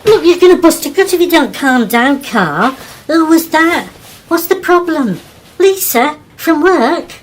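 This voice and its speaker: A woman acting in an audio drama as a sharp-tongued, sardonic character with a dry, pointed way of talking. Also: high voice, animated, measured pace, slight background noise. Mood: worried, insistent.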